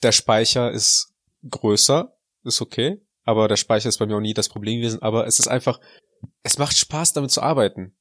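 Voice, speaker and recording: A man's voice: mid-range voice, brisk, fairly bright tone, no echo. A man co-hosting a podcast, from Russia but raised in Germany, chatting in a casual, joking way. His voice sits in a middle register. The sound is dry and close, with no room around it. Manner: joking, puts on a fake Russian accent in German. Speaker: podcast co-host. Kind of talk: casual chat.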